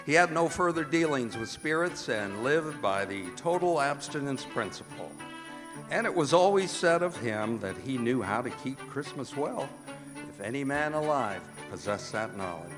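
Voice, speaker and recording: A man's voice: medium pitch, lively intonation, normal speed. A man narrating a scripted radio drama in a theatrical storytelling style. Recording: reverberant stage hall, music behind.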